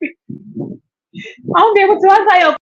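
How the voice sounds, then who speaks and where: A woman's voice: extremely high pitch, moderate pace. Chatty woman live streaming. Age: 30s. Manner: chatty, repeats herself, laughs often. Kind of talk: casual live stream chat. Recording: dull lo-fi stream audio.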